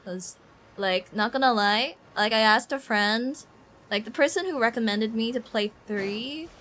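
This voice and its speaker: A woman's voice: unhurried, fairly bright tone. A woman game streamer chatting casually and rambling in an informal, slangy way as she comments on play. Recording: faint background noise and low rumble.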